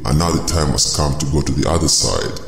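Very deep, menacing demon. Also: male voice, creaky, noisy background. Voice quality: pitch-shifted deep growl. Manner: slow, ominous delivery. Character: menacing male demon come to collect souls. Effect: lowered pitch effect. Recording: reverberant processed hall sound.

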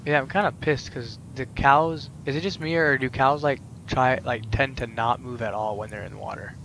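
Young man, casual gamer chat, rambling, mid pitch, noisy home recording. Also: moderate pace. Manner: rambling, filler-heavy, animated gamer talk. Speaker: Minecraft let's play host. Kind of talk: casual gaming chat over voice call.

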